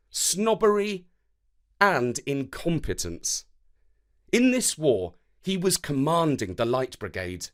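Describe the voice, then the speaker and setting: A man narrating scripted history and verse for children in a measured, dramatic way. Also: medium pitch. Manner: measured, expressive, dramatic delivery. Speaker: storyteller narrator.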